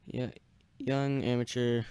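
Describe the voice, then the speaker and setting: A young man hosting an amateur radio net in casual, halting chat, searching for words as he goes. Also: mid-range voice, flat delivery, warm tone, clean tone, no echo. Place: small indoor radio room.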